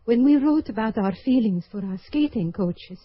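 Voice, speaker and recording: A pleading young skater. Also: female voice, fairly high pitch, animated, normal speed, husky, no echo. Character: young Soviet woman skater in love, pleading. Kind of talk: 1970s radio drama acting. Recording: dull old radio recording.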